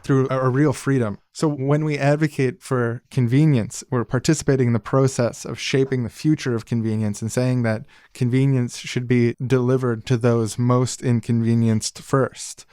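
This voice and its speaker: A man's voice: medium pitch, fairly even intonation, moderate pace, few pauses, a little mellow, clean tone, dry recording. Man co-hosting a podcast, dry close sound. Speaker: podcast co-host. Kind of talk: conversational political commentary.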